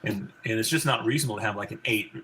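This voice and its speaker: A man in his forties with a baritone voice, a physician and country singer talking as an interview guest, rambling with many fillers and restarts. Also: rapid-fire, bright, forceful tone, slight background noise, rough recording. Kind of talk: casual interview conversation.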